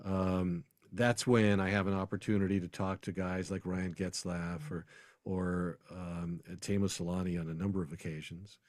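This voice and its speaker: Guy with a low voice telling sports stories on a podcast, dry close sound. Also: level tone, normal speed, a little mellow, no room sound. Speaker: guest, sports arena PA announcer. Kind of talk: casual podcast interview, telling anecdotes.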